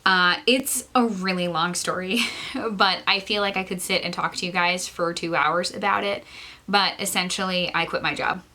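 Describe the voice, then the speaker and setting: Bright-voiced stuffy young woman chatting. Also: on the low side, steady tone, normal speed. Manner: chatty, rambling with frequent "like" and "um"; laughs often between phrases. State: stuffy, congested nose; short of breath. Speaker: vlogger. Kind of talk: casual chat to camera.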